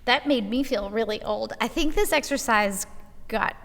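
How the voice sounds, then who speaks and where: A woman's voice: fairly high pitch, moderate pace, a bit bright. Chatty woman in her forties, echoey room. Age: 40s. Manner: chatty, rambling with many fillers. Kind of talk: casual church podcast conversation.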